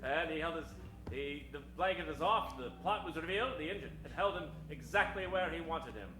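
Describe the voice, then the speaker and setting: A man's voice: a little high, fairly quick. A man hosting and narrating an improvised old-time radio show with theatrical storytelling. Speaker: host and narrator. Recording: echoey live stage, keyboard music behind. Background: keyboard music.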